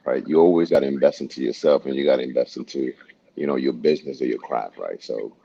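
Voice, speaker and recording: A man from Honduras with a very deep voice talks upbeat and often tags his points with "right?". The audio is dull and lo-fi. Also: fast talker, creaky, dark tone, husky. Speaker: guest, military medical officer. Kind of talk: casual interview answers.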